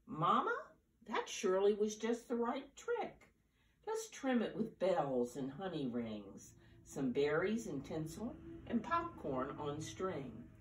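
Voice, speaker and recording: A cheerful storybook bear read out by an older woman, eager and bouncy in his exclamations. The delivery swings up and down at an unhurried pace. It is heard a little way off in a roomy space. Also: lowish voice, very animated, a little hiss or hum, pitched above the performer's own voice. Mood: eager, pleased. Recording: roomy, distant camera microphone.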